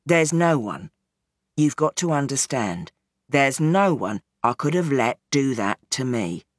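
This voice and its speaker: A woman reads as an aging crime boss in a storybook, low and heavy, calm and unrepentant. His deep voice sits far below the narrator's, sounding tired and settled. The sound is dry and close. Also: a little slow, no room sound, heavily acted. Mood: resigned.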